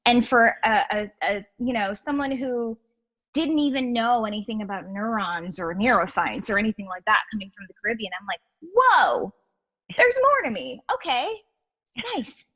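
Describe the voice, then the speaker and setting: A woman's voice: fairly high pitch, moderate pace, poor audio. A woman from Guyana, a guest chatting earnestly in an interview, full of restarts and asides. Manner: animated and earnest, many "you know"s and restarts. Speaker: guest, healer and educator. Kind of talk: casual interview chat.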